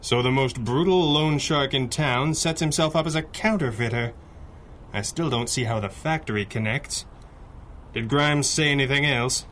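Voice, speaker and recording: An actor playing a composed, wry crimefighting boss in a 1930s-style radio adventure, speaking with dry understatement. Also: male voice, medium pitch, moderate pace, a bit bright, slight background noise, lightly acted. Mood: dry, businesslike. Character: suave, wry masked hero, male crimefighting boss.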